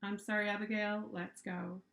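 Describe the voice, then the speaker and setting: A woman's voice: mid-range voice, little pitch movement, normal speed, a bit bright, roomy sound, natural voice. A woman voicing a bossy male monster examiner who speaks in a formal, schoolmasterly way in a storybook. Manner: formal, clipped instructions.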